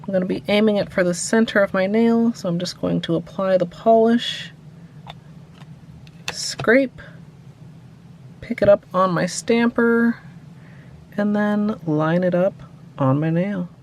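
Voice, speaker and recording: Woman talking thru her nail art steps, calm and even, light hiss behind. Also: mid-range voice, a little slow, long pauses. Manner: calm step-by-step instructions. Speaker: nail art tutorial host. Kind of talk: voice-over tutorial narration.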